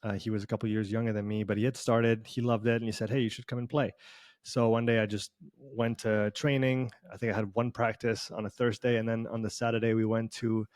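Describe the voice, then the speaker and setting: A man's voice: on the low side, flat delivery, brisk, a little mellow, no echo. A man from Switzerland with a Swiss accent that carries a slight Canadian sound, telling his story as a guest in a casual online interview, rambling along with many fillers. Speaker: performance coach guest. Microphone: video call.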